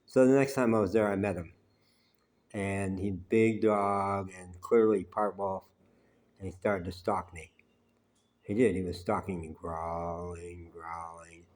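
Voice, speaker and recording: Low-voiced man telling rambling stories. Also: steady tone. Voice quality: naturally loud voice. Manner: unhurried and conversational, with frequent "uh" pauses. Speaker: vlogger telling life stories. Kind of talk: rambling personal storytelling.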